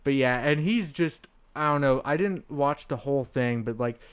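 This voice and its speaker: A man's voice: medium pitch, moderate pace, clean tone. A young man hosting a podcast, chatting casually and rambling, laughing often through his stories. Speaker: podcast host. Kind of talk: casual comedic chat.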